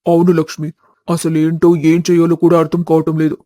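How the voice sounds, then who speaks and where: A man's voice: on the high side, completely flat delivery, brisk, mellow, dry recording, clean studio sound, natural voice. A man voicing a worn-out, troubled father-in-law with a weary manner in a village family story.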